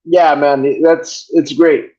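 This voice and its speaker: A man's voice: a little high, steady tone, slow pace, dark tone. Profane rambling male podcast host. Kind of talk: casual chat. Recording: dull lo-fi sound.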